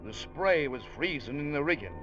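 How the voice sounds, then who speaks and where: A man's voice: on the high side, expressive, normal speed, a bit bright. An actor playing a ship's first mate who narrates the story in an old radio drama, plain and a little hesitant with his captain. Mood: narrating. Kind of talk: 1940s radio acting. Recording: dull old radio broadcast with music.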